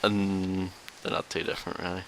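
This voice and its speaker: Northern Irish accent वाला एक युवा आदमी, podcast का co-host, सूखे और तंज़ भरे अंदाज़ में आराम से बात करता हुआ।. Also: male voice, sits low, little pitch movement, moderate pace, a bit bright. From Northern Ireland. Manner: dry, sarcastic teasing. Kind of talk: casual chat.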